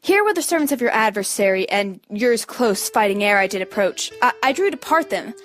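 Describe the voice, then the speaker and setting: A woman's voice: fairly high pitch, big pitch swings, fairly quick, fairly bright tone, no room sound, faint music behind, voice pushed down. A woman playing an earnest young male peacemaker in an amateur Shakespeare reading, pleading and explaining.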